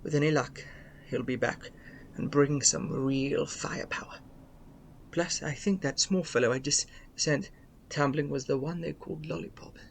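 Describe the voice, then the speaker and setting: An actor playing a weary, gruff reluctant gunfighter in a radio play, with a dry, measured manner. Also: male voice, on the high side, fairly even intonation, a little hiss or hum. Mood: dry, resolute.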